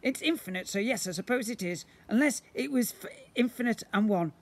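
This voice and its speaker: A man voicing an excitable, theatrical shapeshifting slime creature in a children's storybook, grandiose and playful. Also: high-pitched, a little fast, pitched above the performer's own voice. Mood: grand. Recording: noisy outdoor handheld recording.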